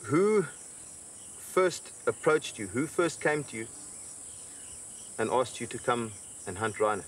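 A man's voice: mid-range voice, very animated, slow pace, a bit bright. A man interrogating someone outdoors, pressing with repeated questions. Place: outdoors in the bush. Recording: distant outdoor mic, faint insect noise.

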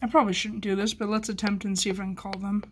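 A woman's voice: medium pitch, flat delivery, fast talker, a little hiss or hum. A woman chatting informally in a hobby vlog, rambling and thinking aloud as she goes. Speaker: hobbyist video maker. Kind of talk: casual vlog chat.

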